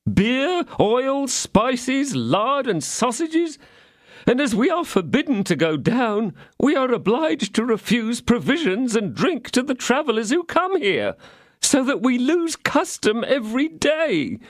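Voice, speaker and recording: A fretful innkeeper whines and pleads, voiced by a man. His voice sits high and swoops up anxiously, and the recording is dry and close. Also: no room sound, full character voice. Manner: whining, pleading, high and strained. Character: anxious wailing male innkeeper, fussy and fretful.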